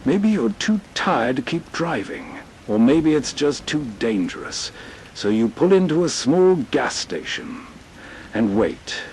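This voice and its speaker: A man hosting with atmospheric, scripted narration, soothing and full of storyteller pauses. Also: mid-range voice, sing-song, a little mellow, a little hiss or hum, rough recording. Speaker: host introducing old radio stories.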